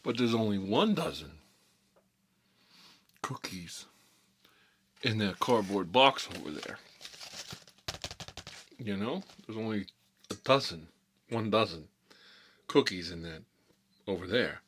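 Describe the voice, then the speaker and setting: A gruff mob boss played by a man holds forth in a comic ASMR-style monologue. His husky voice sits fairly low but swings widely as he rambles, repeats himself and needles his listeners with menacing, sardonic mock threats. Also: lively intonation, slow pace, pauses often, fairly bright tone, exaggerated voice. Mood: sarcastic, needling.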